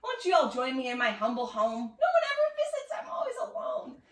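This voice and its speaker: A gentle, lonely male Bigfoot from a children's storybook, acted out by a woman. He speaks politely and kindly, in a high voice with big swings of pitch. The room echoes around him. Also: wildly swooping pitch, fairly quick. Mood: welcoming. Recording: echoey room, distant mic.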